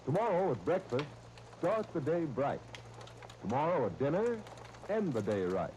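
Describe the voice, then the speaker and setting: Mellow 1930s American radio announcer, man, animated. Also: a little high, moderate pace, slight background noise. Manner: polished, folksy, persuasive. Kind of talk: 1930s radio narration and sponsor pitch. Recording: muffled 1930s radio recording.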